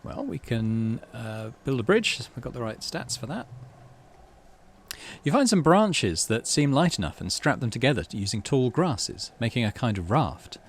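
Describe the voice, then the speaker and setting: A man, middle-aged, hosting a game playthrough, mixing casual commentary with expressive story reading. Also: mid-range voice, normal speed, a little hiss or hum. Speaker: let's play host.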